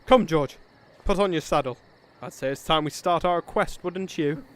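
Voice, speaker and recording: A man's voice: on the high side, moderate pace, close to an everyday voice. A British man playing an eager, pompous and polite noble knight in a comic fantasy drama. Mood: cheerful, earnest. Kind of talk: theatrical comic fantasy acting.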